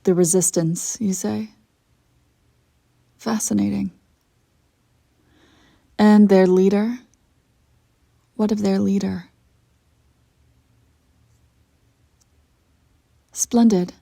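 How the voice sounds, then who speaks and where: A woman playing an imperious evil empress in an audio roleplay, coolly seductive and menacing, with theatrical, deliberate phrasing. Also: medium pitch, fairly even intonation, gravelly, dark tone, dry recording, studio quality. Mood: haughty, cold. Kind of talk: scripted audio roleplay acting.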